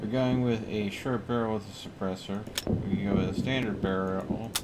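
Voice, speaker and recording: A man's voice: lowish voice, fairly even intonation, normal speed. A man streaming a video game, thinking aloud in casual gameplay commentary. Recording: loud game noise underneath.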